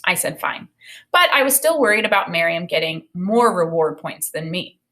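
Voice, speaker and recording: A woman in her thirties, an elementary teacher, reading a children's novel aloud and explaining it in an expressive way. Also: lowish voice, moderate pace. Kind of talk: read-aloud of a children's novel with think-aloud commentary. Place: small indoor room with bookshelf and whiteboard.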